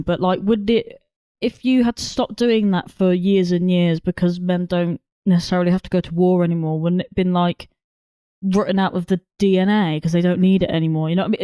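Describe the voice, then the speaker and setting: A woman's voice: mid-range voice, mellow, no echo. A young woman co-hosting a film podcast, chatting casually in an informal, opinionated way. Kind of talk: casual chat.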